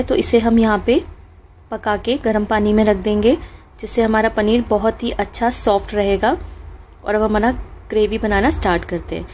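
A woman with a fairly high voice talks through a home cooking recipe one step at a time. She speaks in a friendly, instructive tone and keeps addressing the listener as friends. A faint hiss sits under her voice. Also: darkish tone. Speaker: cooking channel host. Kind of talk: home cooking tutorial voice-over. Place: home kitchen stove.

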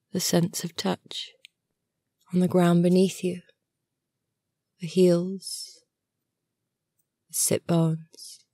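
A woman guiding a meditation in a soothing, gentle and unhurried manner, soft and breathy at the ends of phrases. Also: on the low side, no echo, studio quality. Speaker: yoga nidra meditation guide, channel host. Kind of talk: guided meditation narration.

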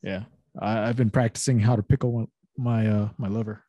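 A man's voice: on the low side, fairly even intonation, fairly quick, dark tone, no room sound, highs cut off. A man co-hosting a casual podcast over a video call, joking and teasing his friends and swearing freely. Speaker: podcast co-host. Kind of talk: casual chat. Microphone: video call with desk microphone.

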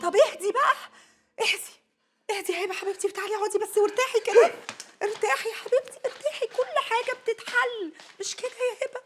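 Calming neighbor woman, actress, Egyptian accent, very high voice, very fast, coaxing. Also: music in the background. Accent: Egyptian Arabic. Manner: coaxing, lecturing. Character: calm neighbor woman, soothing and reasonable. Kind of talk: comedy sketch.

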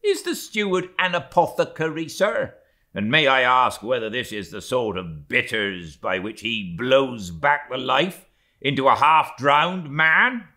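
A man reading a novel aloud, voicing a bluff, excitable ship's mate who mocks loudly. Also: mid-range voice, a little slow, voice pushed up. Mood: indignant mockery. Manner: loud, blustering, sarcastic questioning. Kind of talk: audiobook character voicing.

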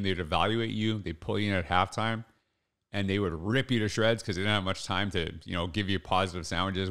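Low-voiced middle-aged man podcasting casually. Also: fairly bright tone. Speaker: podcast host. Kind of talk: casual solo podcast monologue. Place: small home office, indoors. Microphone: close desk podcast microphone.

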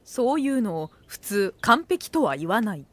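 A woman's voice: mid-range voice, sing-song, normal speed, very noisy, lightly acted. A woman playing a wry narrator who makes dry asides in a comedic audio drama. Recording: music behind the voice.

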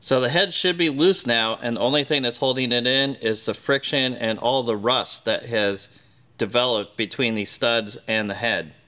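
Middle-aged guy in a big garage, plain matter-of-fact explaining, normal pitch, camera mic nearby. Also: moderate pace, sharp tone. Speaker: host. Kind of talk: hands-on workshop demonstration. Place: large garage workshop, indoors.

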